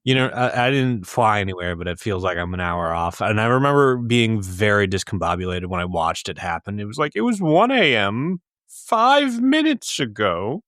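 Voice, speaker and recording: A man's voice: lowish voice, sing-song, normal speed, fairly bright tone, no echo, clean studio sound. A man co-hosting a podcast, grumbling wryly in casual comedic chat. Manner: grumbling, wry. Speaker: podcast co-host. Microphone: close mic on a group remote call.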